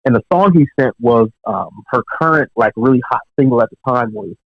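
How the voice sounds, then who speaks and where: A man telling a story as a guest in an interview, casual and animated, with many fillers and restarts. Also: mid-range voice, fairly quick, no echo, highs cut off. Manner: animated, lots of "you know" fillers and restarts. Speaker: guest drummer.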